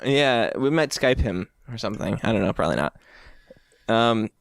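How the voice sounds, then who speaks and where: A man co-hosting a casual podcast, easygoing and quick to laugh. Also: on the low side, expressive, vocal fry, dry recording. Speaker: podcast co-host. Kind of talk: casual chat.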